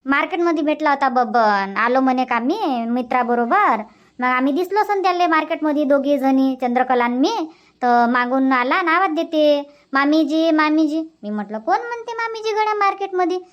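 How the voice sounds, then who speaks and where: A woman's voice: high voice, lively intonation, normal speed, highs cut off. A woman voicing chatty, sociable village women in a cartoon comedy, speaking colloquial Vidarbha Marathi with repeated phrases. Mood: animated gossip. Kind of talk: cartoon comedy dubbing in rural dialect.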